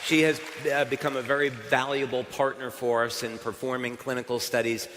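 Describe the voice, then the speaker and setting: A man's voice: mid-range voice, little pitch movement, moderate pace, a bit bright, some chatter behind, slight background noise. An American man giving a live stage presentation at a company event, chatty and upbeat with fillers and restarts. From United States. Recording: reverberant hall sound.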